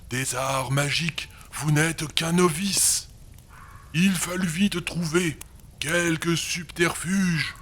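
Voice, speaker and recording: A man's voice: a little high, fairly bright tone, slight background noise. A man reciting a fantasy verse prologue in a theatrical, ceremonious, declamatory way. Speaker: prologue narrator.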